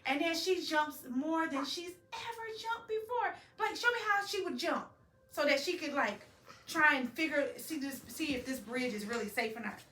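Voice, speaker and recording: A woman telling a story to a young child as a mother, animated, prompting with questions and repeating the answers. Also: high voice, normal speed. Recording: roomy, distant home recording with faint noise.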